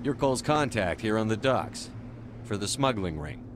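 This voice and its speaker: Clear noise sits behind a man acting as a video game military commander. His voice is on the low side, stern and firm, with the no-nonsense manner of someone running an interrogation. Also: expressive, normal speed, lightly acted. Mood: stern, suspicious.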